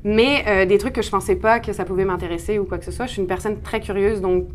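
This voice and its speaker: A woman's voice: medium pitch, fairly even intonation, quick pace. French woman telling her story, noisy recording. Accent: French from France. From France. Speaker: interview guest, urban planner. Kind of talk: conversational interview, personal storytelling.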